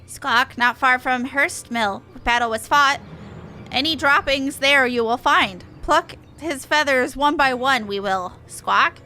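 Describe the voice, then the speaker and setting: A woman voicing an excitable bird-beastman from a video game, speaking in inverted sentences punctuated by squawks. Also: sits high, slow pace, faint music behind, full character voice. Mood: vengeful. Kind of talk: game dialogue read aloud in character.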